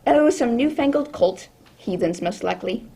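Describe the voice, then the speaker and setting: Actress as fretful housekeeper, high-pitched, husky, scolding, radio drama. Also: female voice, lively intonation, normal speed, dark tone, exaggerated voice. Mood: disapproving. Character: fussy, disapproving housekeeper, a woman. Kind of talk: amateur radio drama acting.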